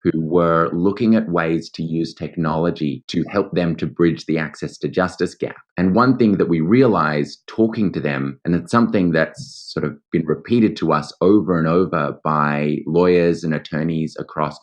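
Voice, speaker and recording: A man's voice: sits low, normal speed, hardly any pauses. A man with an Australian accent, explaining things in an articulate, structured way as an interview guest. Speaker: interview guest, legal tech founder.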